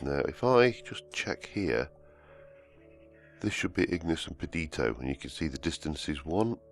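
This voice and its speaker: A man, croaky from a cold, hosting casual gameplay commentary and rambling as he plays. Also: low-pitched. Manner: rambling, self-correcting, humming to himself. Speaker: let's-play host. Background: soft background music.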